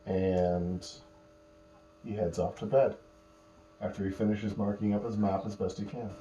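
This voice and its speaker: High-voiced man telling a bedtime story, roomy echo. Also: fairly even intonation, dark tone, dull audio. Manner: explains words patiently, pauses mid-phrase. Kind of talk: improvised bedtime storytelling to children. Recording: roomy echo, faint electrical hum.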